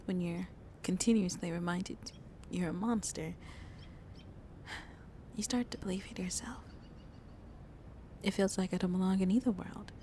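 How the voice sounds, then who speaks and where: A woman playing a shy, lonely vampire in an intimate ASMR roleplay, speaking softly and hesitantly, often half whispering. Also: lowish voice, steady tone, a little hiss or hum, lightly acted. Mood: wistful, vulnerable. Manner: slow, hesitant, halting, soft and breathy. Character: shy, lonely female vampire on a tender first date.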